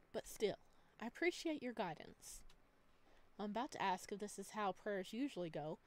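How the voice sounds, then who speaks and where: A woman reading a visual novel aloud, switching between calm narration and animated, giggly asides to her viewers. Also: medium pitch. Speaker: let's play video creator.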